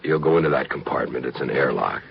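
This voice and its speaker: Deep, gravelly, somber spaceship pilot. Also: male voice, fast. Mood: grim regret. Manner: terse, somber, restrained. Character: grave spaceship pilot, regretful, duty-bound, gently stoic. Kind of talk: 1950s radio drama acting. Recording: noisy, muffled 1950s radio recording.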